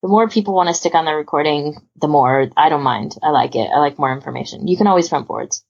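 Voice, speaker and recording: A woman's voice: deep voice, quick pace, vocal fry, a little mellow, lo-fi sound. A woman hosting a podcast in casual, conversational chat. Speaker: podcast host.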